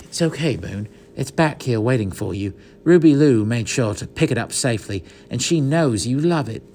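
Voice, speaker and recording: A man's voice: mid-range voice, animated, moderate pace, darkish tone, slight background noise, lightly acted. A man voicing an earnest, anxious young boy.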